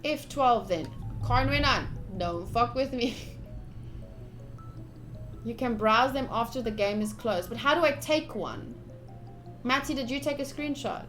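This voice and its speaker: A woman livestreaming a visual novel playthrough, reading the text aloud with excitable, playfully dramatic and casual commentary. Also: on the high side, unhurried, long pauses, faint music behind. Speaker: streamer playing a visual novel.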